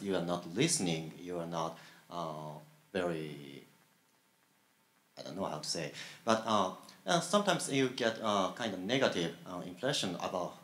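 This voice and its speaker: A young man with a Japanese accent on a graduate student panel, speaking haltingly with many fillers and pauses. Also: sits low, a bit bright. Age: young adult. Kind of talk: panel talk. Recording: echoey room.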